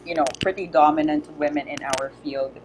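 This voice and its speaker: A woman's voice: low-pitched, steady tone, fairly quick, noisy background. A woman in her thirties moderating a video-call panel, playful and reading out audience questions. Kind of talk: casual panel hosting.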